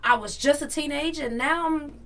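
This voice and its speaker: Bright high-voiced woman telling stories. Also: a little fast. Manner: animated, laughing, addresses listeners directly. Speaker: podcast co-host. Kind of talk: casual storytelling chat.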